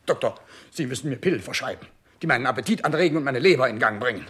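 Bossy sulky king for a radio play, forceful, voice leaping up and down, kinda capricious. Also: medium pitch, expressive, rapid-fire, slightly rough. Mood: dismissive, petulant. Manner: capricious, pompous, swinging from shouts to mutters. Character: imperious, petulant, ailing old king in denial. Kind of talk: 1960s radio play acting.

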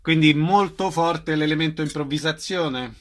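Radio interviewer guy, bright slightly high voice, casual questions. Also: normal speed.

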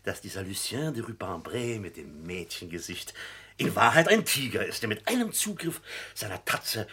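Scheming jovial publisher, bright forceful voice, husky edge, theatrical, flattering, radio play. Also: male voice, on the low side, normal speed, heavily acted. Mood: gushing, amused. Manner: effusive, theatrical, full of chuckles. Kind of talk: radio drama acting.

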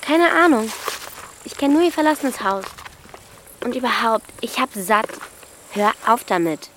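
A woman's voice: on the high side, expressive, unhurried, a bit bright, slight background noise. A woman playing a bold, defiant thirteen-year-old village girl, blunt and impatient, in a radio drama.

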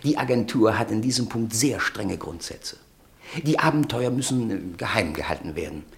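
Suave male agency director, florid courteous salesman, animated delivery, creaky edge, proud, theatrical, radio play. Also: lowish voice, normal speed. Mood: apologetic. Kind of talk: German radio drama acting.

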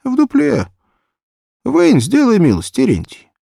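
A man acts out a frail eight-year-old village boy from a children's audiobook. The voice is lifted high and moves in wide swings, plaintive and trusting, in simple folksy speech. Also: huge pitch swings, a little slow, vocal fry, warm tone, husky, no room sound, studio quality, full character voice. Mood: pleading.

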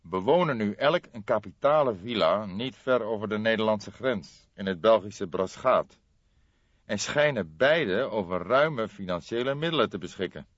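Man playing a deep, probing veteran detective. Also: expressive, no room sound, muffled recording. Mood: calm, measured. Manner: deliberate, insistent. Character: calm, probing old male police detective. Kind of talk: audiobook character dialogue.

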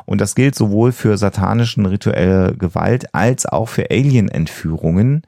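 A man's voice: fairly low pitch, moderate pace, vocal fry, dark tone, no echo, studio quality. A man, co-hosting a podcast and explaining things in an earnest, emphatic way. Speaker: podcast co-host.

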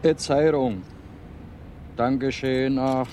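A man played by an actor reads headlines very slowly at a middling pitch, in radio-play style. Faint vehicle noise and street ambience surround him. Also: fairly even intonation, mellow, natural voice. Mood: sombre reading. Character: man slowly reading newspaper headlines to himself. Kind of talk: radio drama, reading aloud.

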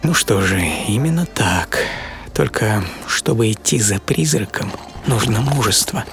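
An actor playing an obsessive middle-aged entrepreneur in a dramatic first-person radio monologue, speaking theatrically with pauses and half-whispered phrases. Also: male voice, lowish voice, lively intonation. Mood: proud, impassioned. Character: obsessive middle-aged entrepreneur and treasure hunter recalling boyhood. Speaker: author and performer of a radio programme. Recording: music under studio voice.

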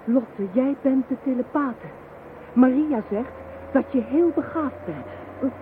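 An actress playing a determined, persuasive police inspector in a Dutch radio drama, speaking insistently and deliberately. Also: female voice, high-pitched. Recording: muffled narrow-band phone-like audio, noisy.

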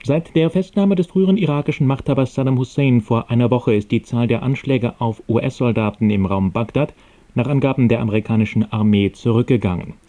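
A man reading scripted radio news in a formal, measured and neutral manner. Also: mid-range voice, warm tone, lo-fi sound.

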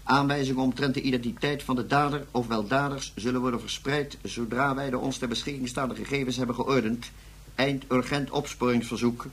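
A man's voice: medium pitch, steady tone, brisk, a little hiss or hum, lightly acted. A man playing a fussy, grumbling moon police officer in a science-fiction radio play, official and repetitive in manner. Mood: urgent, official.

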